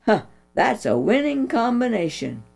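Calm folksy policeman, reassuring, as a woman reads him, slow and gravelly. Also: a little high, faint music behind, voice pushed up, full character voice. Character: big, kindly man who is a policeman. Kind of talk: storybook reading.

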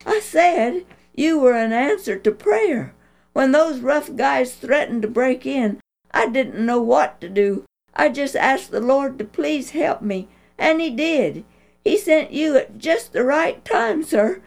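A woman voicing a frightened, polite young boy named Hank, speaking high and pleading. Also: expressive, takes it slowly, gravelly, a little mellow, faint music behind, exaggerated voice. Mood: frightened, earnest. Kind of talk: storybook reading.

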